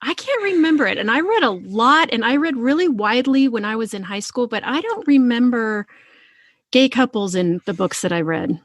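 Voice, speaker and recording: A woman podcast host talking conversationally about books in an earnest, expressive manner. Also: fairly high pitch, normal speed, dry recording. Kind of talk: conversational book discussion.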